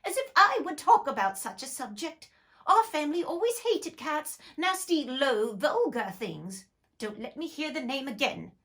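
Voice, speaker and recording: A woman voicing a small, easily offended male mouse in a shrill, passionate voice. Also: sing-song, a little slow, fairly bright tone, full character voice. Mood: furious.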